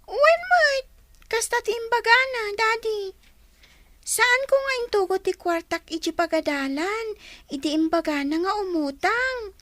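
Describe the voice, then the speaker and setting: A very high, small girl's voice acted by a woman, earnest and protesting, in radio comedy-drama style. She explains herself pleadingly, and the sound is close and dry. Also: lively intonation, measured pace, a bit bright, no room sound, heavily acted. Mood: defensive. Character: young schoolgirl daughter, earnest and protesting.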